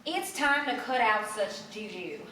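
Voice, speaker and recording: A woman's voice: on the high side, lively intonation, unhurried, pushed and bright. A woman performing dramatic literary narration live on stage, theatrical and emphatic on each word. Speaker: stage reader in a live ensemble reading. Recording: distant echoey hall, noticeable noise.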